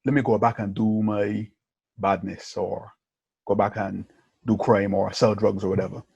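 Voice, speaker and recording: A man hosting a podcast, telling stories in an animated, chatty way and constantly checking in with his listener. His voice sits low and has a husky grain. The sound is dry and close, with no room around it. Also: moderate pace, dry recording, dull audio. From Barbados. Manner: animated storytelling, keeps checking in with "yeah?" and "right?". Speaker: podcast host. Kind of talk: casual conversation.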